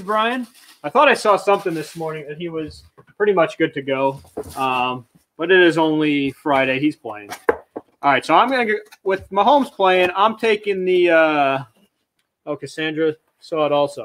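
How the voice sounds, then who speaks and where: A chatty man in his thirties hosts a casual live auction stream, his voice sitting a little high. He talks into a webcam mic in a small shelf-lined storage room and sometimes drifts away from it. A faint hiss runs underneath. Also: fairly bright tone. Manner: chatty, reads viewer comments aloud, moves around while talking. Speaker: auction livestream host. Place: small storage room full of shelves, indoors. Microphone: webcam mic at desk, sometimes farther away.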